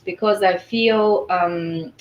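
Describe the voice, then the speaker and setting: A woman whose first language is Mandarin, a coach answering interview questions in a thoughtful way, often pausing on "uh" and "mm". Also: on the low side, fairly even intonation, highs cut off. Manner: thoughtful, frequent "uh" and "mm" fillers. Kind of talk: conversational interview. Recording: echoey room, faint ambient noise.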